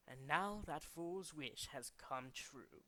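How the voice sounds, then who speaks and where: A man's voice: on the high side, pushed and bright, full character voice. A man voicing a condescending, cultured and fanatical villain leader from a video game, speaking in a formal, theatrical way. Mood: gloating, triumphant. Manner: formal, pompous, theatrical, deliberate.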